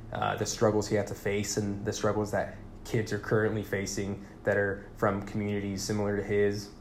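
Low, flat-voiced young man. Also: a little hiss or hum. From California, born in Puerto Rico. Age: young adult. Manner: informal, slangy, hesitant with frequent pauses. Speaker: podcast host. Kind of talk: casual solo podcast monologue.

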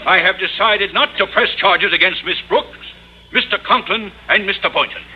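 A man's voice: high-pitched, normal speed, husky. A man playing a stuffy, easily scandalised official with a pompous, formal manner in a 1950s radio comedy. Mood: indignant. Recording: muffled old radio with studio audience.

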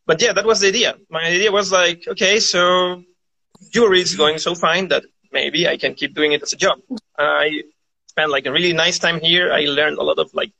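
A man's voice: on the high side, steady tone, normal speed, creaky, pushed and bright, poor audio. A man from Chile, chatting casually as an interview guest in a rambling way, often saying "like". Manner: rambling, peppered with "like". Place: live video call.

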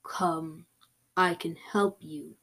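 A woman's voice: fairly even intonation, drawn-out words, a bit bright, husky, exaggerated voice. Woman putting on a creepy, scraggly beckoning voice. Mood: creepy, luring. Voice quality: deep, scraggly, whispery. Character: creepy whispering voice calling from a magic door.

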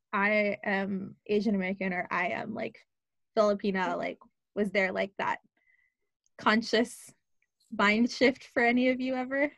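A woman's voice: mid-range voice, no echo, muffled recording. A young woman from Los Angeles chatting casually, using lots of "like" and "um" fillers. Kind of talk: casual conversation.

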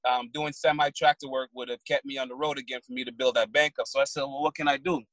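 A man's voice: mid-range voice, steady tone, fast talker, fairly bright tone, dry recording. A man from Barbados, a trucking business owner, answering interview questions in a plainspoken way. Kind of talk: casual interview.

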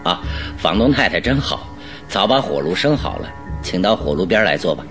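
An actor playing a calm, good-humoured detective in a Chinese radio drama, speaking politely and deliberately with a teasing streak. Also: male voice, sits low, faint music behind, full character voice. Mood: courteous, attentive.

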